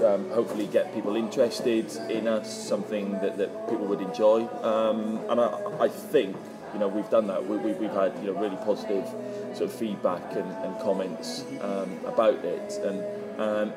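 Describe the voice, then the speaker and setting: Deep-voiced hesitant man over music. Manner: hesitant, halting. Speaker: interview guest, brewery founder. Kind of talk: informal interview answers.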